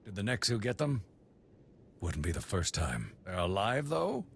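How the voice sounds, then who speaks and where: Deep, gruff military commander. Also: male voice, big pitch swings, unhurried, fairly bright tone, slight background noise, exaggerated voice. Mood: gruff, businesslike. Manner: clipped, authoritative. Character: gruff, stern male Republic military outpost commander. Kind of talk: dramatic video game dialogue.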